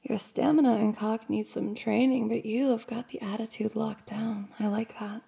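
Woman voicing a husky, gravelly teasing roommate. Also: on the high side, fairly even intonation, mellow, exaggerated voice. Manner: slow, husky, often whispering. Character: teasing, dominant young adult woman roommate. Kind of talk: intimate audio roleplay.

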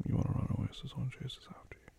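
A man softly whispering a tender, reassuring ASMR comfort roleplay. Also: racing.

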